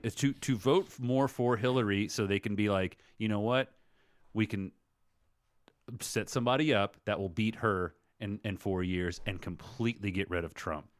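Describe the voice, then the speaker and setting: Low voiced guy on a podcast, rambly political chat, rewords himself a lot, dry sound. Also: clear, resonant tone, dry recording. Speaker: podcast co-host.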